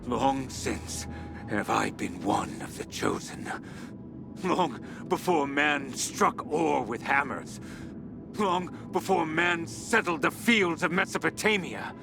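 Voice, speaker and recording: Obsessed male researcher, messianic madness, slow brooding delivery, creaky voice, dramatic game monologue. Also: on the high side, full character voice. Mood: exalted, fanatical. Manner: halting, brooding, breaking into whispers and cries. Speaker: expedition leader recording audio logs. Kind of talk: dramatic video game audio log monologue. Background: background music.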